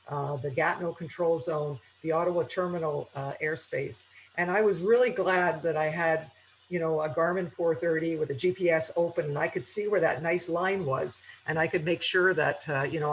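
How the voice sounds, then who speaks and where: A man speaks in a somewhat high register. He explains things like an instructor in an informal presentation, repeating key points for emphasis. Some noise sits behind the voice. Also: low-quality recording. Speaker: aviation instructor and pilot.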